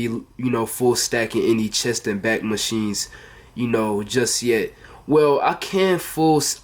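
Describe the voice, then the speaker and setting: A teenage male with an American accent chatting casually to camera in an earnest, rambling and repetitive way. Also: medium pitch. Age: teenager, under 18. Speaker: fitness vlogger. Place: bedroom at home, indoors.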